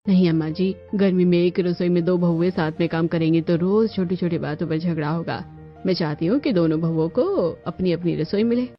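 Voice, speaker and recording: Woman voicing a determined middle-aged mother, low voice. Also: brisk, lightly acted. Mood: firm, insistent. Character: determined middle-aged Indian mother planning separate kitchens. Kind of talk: Hindi cartoon story dialogue. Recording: dull lo-fi audio with music.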